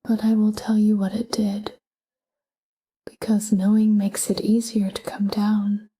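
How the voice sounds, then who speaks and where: A woman whispers a guided sleep meditation with a very breathy, airy voice. Her delivery is slow and calm, staying almost flat in pitch. She speaks gently, in short phrases with room between them.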